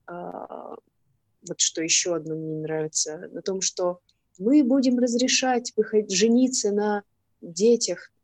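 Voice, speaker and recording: A woman from Irkutsk, a guest on a casual podcast, speaking in an outspoken, indignant way with hesitant pauses. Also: on the low side, darkish tone, no room sound. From Russia, Irkutsk.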